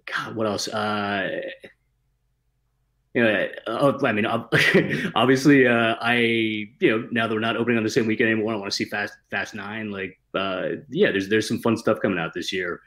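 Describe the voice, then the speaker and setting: A man's voice: lowish voice, steady tone, moderate pace, fairly bright tone. A man talking casually as a guest screenwriter, slangy and given to self-deprecating humour. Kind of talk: casual chat.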